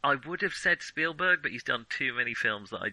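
A man's voice: medium pitch, expressive, brisk, sharp tone, no room sound, low-quality recording. A man co-hosting a podcast, chatting casually about films in a hesitant, circling way. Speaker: podcast co-host. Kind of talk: casual film discussion.

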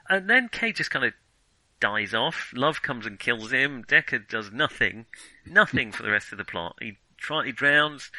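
Male film podcast host, very bright sharp voice, dry close sound, opinionated and halting in casual discussion. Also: fairly high pitch, dry recording, low-quality recording. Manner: hesitant, self-interrupting, opinionated. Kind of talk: casual film criticism chat.

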